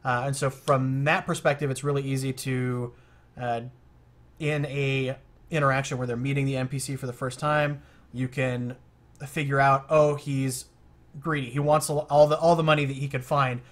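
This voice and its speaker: A man giving casual feedback as an experienced game master, explaining with many fillers and pauses. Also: medium pitch, level tone. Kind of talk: casual feedback discussion on a call.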